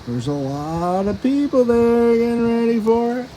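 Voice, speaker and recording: A man reading a children's storybook aloud in a playful, expressive, chatty way, with casual asides. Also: extremely high pitch, a little slow, a little hiss or hum, low-quality recording.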